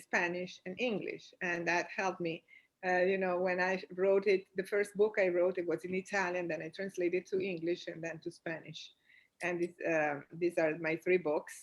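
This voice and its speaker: A woman from Venezuela, an author, talking casually and eagerly over a video call. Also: on the low side. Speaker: author guest.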